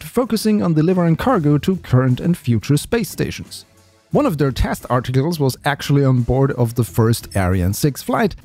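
Lively man narrating a space vlog. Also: mid-range voice. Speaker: space video creator.

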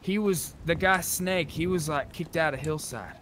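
A man with a slightly high voice talks conversationally, improvising in character during an online game. There is faint noise and ambience behind him. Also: moderate pace, a bit bright. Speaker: role-play gamer. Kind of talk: improvised in-character chat while gaming.

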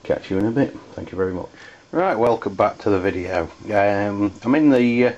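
An English man demonstrating hobby gear in a halting, hesitant way. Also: on the low side, darkish tone, highs cut off. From United Kingdom. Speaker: CB radio enthusiast reviewing gear.

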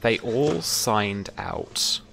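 Very deep, creaky game master. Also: male voice, lively intonation, extremely slow, fairly bright tone, a little hiss or hum. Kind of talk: running a tabletop roleplaying game. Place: indoor room at home, video call. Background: background music.

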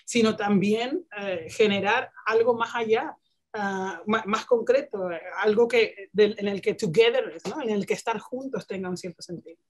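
A woman's voice: mid-range voice, normal speed, darkish tone. Woman on a video call, searching for words. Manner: searching for words, many restarts and English terms. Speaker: panelist, museum director. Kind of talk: panel discussion on video call. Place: home room with bookshelves.

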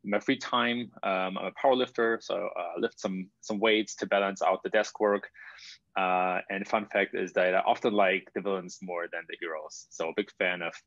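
German-accented man, low voice, dull call audio. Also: moderate pace, gravelly, dry recording. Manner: steady, frequent "uh" fillers. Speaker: guest speaker. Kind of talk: webinar self-introduction. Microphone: video call.

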